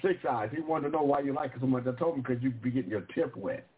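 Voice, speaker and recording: A man's voice: mid-range voice, fast talker. A man hosting a call-in show, teasing callers and calling on them by name in casual banter. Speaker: radio call-in host. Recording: thin phone line with faint hiss.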